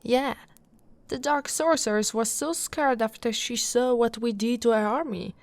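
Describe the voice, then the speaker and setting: Casting: woman to play a brawny female orc wife for ASMR roleplay; unhurried, teasing, intimate delivery with whispered dips, recorded close and dry. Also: on the high side, no room sound. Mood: amused, boastful. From Italy. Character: brawny affectionate female orc warrior wife, playfully boastful.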